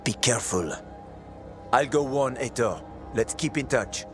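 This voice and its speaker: A man's voice: mid-range voice, animated, measured pace, lightly acted. Man playing wary older video game ally, conspiratorial, urgent warnings, music behind. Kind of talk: video game dialogue acting.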